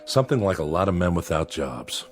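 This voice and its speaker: A man's voice: deep voice, sing-song, fairly quick. An actor in a radio drama playing a weary, principled plant manager, dry and reproachful as he stands up for workers. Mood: dry, skeptical. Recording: radio drama with music underneath.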